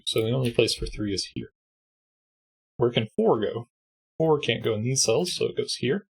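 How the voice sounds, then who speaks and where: A man with a congested, husky voice, calmly walking through a sudoku puzzle step by step as host. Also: mid-range voice. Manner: patient step-by-step explaining. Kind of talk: sudoku walkthrough.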